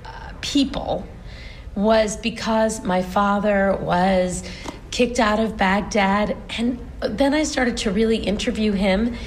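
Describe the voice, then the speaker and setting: A woman's voice: medium pitch, slow pace, a little hiss or hum, low-quality recording. A woman in her forties from the United States, a stage performer being interviewed, telling her family story earnestly with lots of restarts. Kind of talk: casual interview chat.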